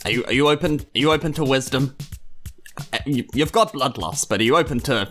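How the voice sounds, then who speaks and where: A man's voice: mid-range voice, a little fast, fairly bright tone, faint music behind, natural voice. A man voicing a self-assured, boastful but kindly mentor hero in a tabletop roleplay game, grand and avuncular. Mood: earnest encouragement.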